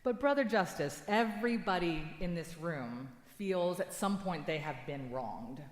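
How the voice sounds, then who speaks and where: A woman's voice: lowish voice, lively intonation, unhurried. Woman moderating in an echoey hall. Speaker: panel moderator. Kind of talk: dramatized church reading, probing questions. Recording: echoey hall, long reverb.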